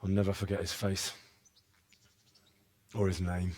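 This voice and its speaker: A man's voice: low-pitched, completely flat delivery, measured pace, darkish tone. An actor playing an earnest young medieval man in a game cutscene, plain-spoken, grieving and set on revenge. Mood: vengeful. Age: young adult. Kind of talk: video game cutscene acting.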